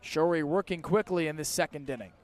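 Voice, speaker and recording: A man's voice: fairly high pitch, fast talker. A man doing live radio baseball play-by-play outdoors at a ballpark, in clipped, descriptive calls that rise with the action. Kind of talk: live radio sports commentary.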